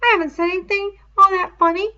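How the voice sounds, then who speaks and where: A woman's voice: very high voice, fairly even intonation, fast, bright, forceful tone, highs cut off, voice pushed up, exaggerated voice. A woman voicing an anxious, whiny young schoolboy, pleading and indignant, in a dramatized novel read-aloud. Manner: pleading, insistent, ungrammatical schoolboy speech.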